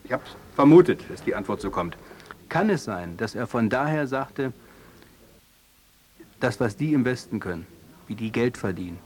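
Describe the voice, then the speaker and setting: A man playing a wordy, deliberate questioner who probes carefully in an interview within an audio drama. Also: mid-range voice, expressive, darkish tone, lightly acted. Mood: probing. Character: probing, opinionated questioner.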